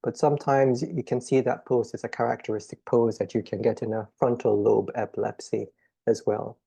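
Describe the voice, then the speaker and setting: A middle-aged man with a Jamaican accent giving a measured, explanatory conference lecture as a children's neurologist, pausing often on "um". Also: medium pitch, little pitch movement, vocal fry, mellow, slightly rough. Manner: measured, explanatory, frequent "um" pauses.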